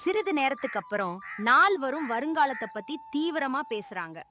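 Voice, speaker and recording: A woman's voice: high-pitched, very animated, moderate pace, sharp tone, a little hiss or hum. A woman narrating a scripted moral story.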